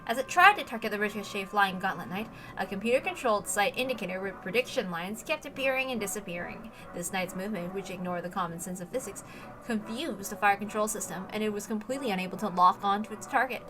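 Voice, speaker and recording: A woman's voice: medium pitch, fast talker, background noise. A woman reading a story aloud as dramatic scripted narration, with emphatic, theatrical delivery. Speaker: reader of a visual novel.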